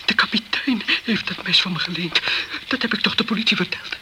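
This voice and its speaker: A man's voice: high-pitched, a little fast, background noise, close to an everyday voice. A man playing a defensive, frightened sailor in a crime radio drama, guarded and evasive in his answers. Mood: panicked. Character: defensive, frightened male sailor hiding the truth.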